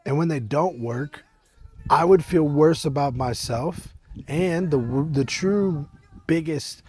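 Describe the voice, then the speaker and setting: Husky deep-voiced man, earnest vlog. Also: slow, a little mellow. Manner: earnest, rambling, many fillers and restarts. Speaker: business coach. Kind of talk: casual motivational talk to camera. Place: outdoors at a winery. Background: faint eighties music.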